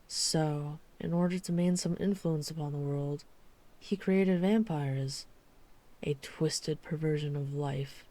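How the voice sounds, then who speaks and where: A woman playing a dry-witted female vampire hunter in an urban fantasy ASMR roleplay, speaking in a measured, deliberate storytelling manner. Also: low voice, dark tone.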